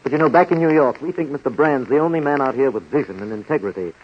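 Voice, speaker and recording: Man playing a quick-talking, polite young leading man. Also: medium pitch, natural voice. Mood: affable, trusting. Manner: courteous, affable. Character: polite, trusting newcomer leading man from New York. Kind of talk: 1930s radio comedy acting. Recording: dull, noisy 1930s radio recording.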